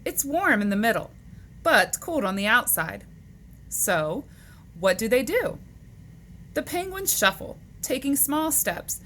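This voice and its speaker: Female children's read-aloud storyteller. Expressive, swooping intonation, leisurely delivery, question-and-answer style for little listeners, slightly noisy recording. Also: fairly high pitch, slow, long pauses. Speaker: storyteller reading a children's picture book aloud.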